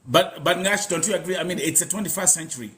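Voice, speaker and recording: A man's voice: on the high side, quick pace, over a noisy crowd. A man with a Kenyan accent hosting a casual live panel chat, speaking in a blunt, emphatic way and repeating himself. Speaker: host of a live discussion show. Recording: clear background noise on live stream.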